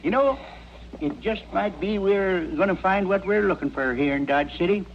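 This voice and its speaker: An actor playing a scrawny old trail drifter, blustery and sly, with a rural American accent in a radio western. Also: male voice, high-pitched, expressive, normal speed. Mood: folksy, ingratiating. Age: older. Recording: muffled, noisy old radio recording.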